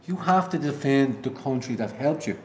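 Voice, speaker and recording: A man's voice: medium pitch, lively intonation, moderate pace, roomy sound, a little hiss or hum. A man from Ireland hosting a casual live stream, talking bluntly and emphatically and swearing. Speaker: livestream host.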